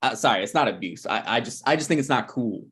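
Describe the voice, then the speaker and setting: A prickly, needy screenwriter in his late thirties, played by a man in a table read. He speaks casually but keeps pressing. His mid-pitched voice moves up and down in lively swings. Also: fast, husky. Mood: accusing. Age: 30s. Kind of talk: table read of a TV pilot script.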